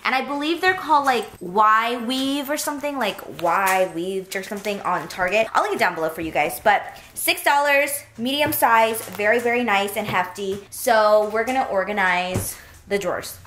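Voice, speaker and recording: A young woman chats her way through a casual vlog, rambling with lots of fillers. Her voice sits at a medium pitch. It is picked up from a little way off in a roomy, echoey space. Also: normal speed, a bit bright, slight background noise. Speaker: lifestyle vlogger. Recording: roomy, mic at a distance.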